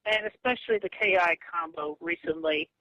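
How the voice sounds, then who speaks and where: A man arguing on a phone call in a halting, stammering way, often repeating himself. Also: fairly high pitch, bright, forceful tone, dry recording. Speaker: comic creator on a phone call. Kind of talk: argumentative phone conversation. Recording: muffled lo-fi phone call audio.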